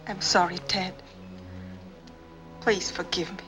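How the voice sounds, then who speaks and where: An actress playing a desperate, captive young wife in a 1940s melodrama film, pleading earnestly. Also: female voice, lowish voice, fairly even intonation, slow pace, over music. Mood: sorrowful, resigned. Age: young adult.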